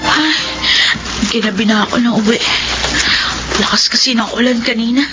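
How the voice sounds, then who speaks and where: Woman acting as young schoolgirl, high voice, earnest, polite, expressive, radio drama, muffled recording. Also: moderate pace, over loud music, natural voice. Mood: worried narrating. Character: young Filipino schoolgirl, poor, dutiful, devoted daughter. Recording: muffled recording with loud background noise.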